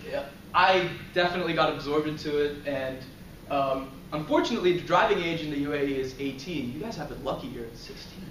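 A young man walks a class through his personal webpage in a relaxed, filler-heavy way, chuckling now and then. His slightly high voice is picked up from a distance by a camera in a roomy lecture hall with faint background hum. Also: fairly quick. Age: young adult. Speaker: student presenter. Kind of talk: class presentation. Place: lecture room, in front of a projector screen. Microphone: far camera.

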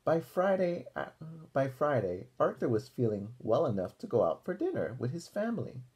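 A young man reading a picture book aloud to children like a teacher, pausing to ask questions. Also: medium pitch, very animated, a little mellow, breathy edge. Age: young adult. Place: small room indoors.

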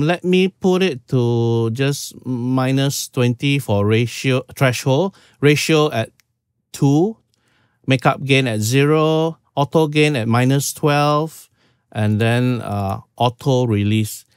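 A man's voice: medium pitch, smooth, dry recording, clean studio sound. A man in his fifties from Singapore, walking through audio settings in a casual tutorial, peppered with "okay". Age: 50s. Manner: informal, step-by-step, frequent "okay"s. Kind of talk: casual screen-share tutorial. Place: small room at home.

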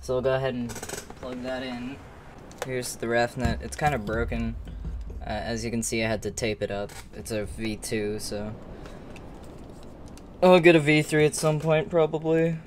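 A man's voice: mid-range voice, fairly even intonation, moderate pace, long pauses. Young man rambling casually over noise. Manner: rambling, informal. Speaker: gaming video creator. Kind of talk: casual gameplay commentary. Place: small room at home.

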